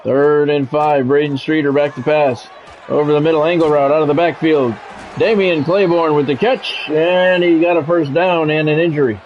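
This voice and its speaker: An American man doing live play-by-play of a video game football match in a measured announcer style, mixed with casual chat to stream viewers. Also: on the high side, fairly even intonation, slight background noise. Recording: dull lo-fi sound with music behind.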